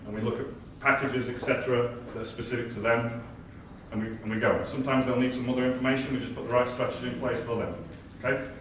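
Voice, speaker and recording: A man's voice: mid-range voice, flat delivery, quick pace, fairly bright tone. A man giving a sales coaching talk at a workshop, acting out both sides of calls. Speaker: workshop speaker. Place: large echoey event hall. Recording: echoey hall, distant mic, faint hiss.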